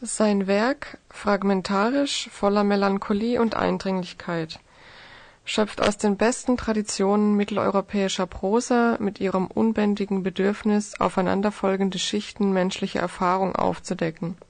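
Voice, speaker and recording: Female radio co-host, medium pitch with noticeable vocal fry, scripted presentation. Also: steady tone, moderate pace, dry recording.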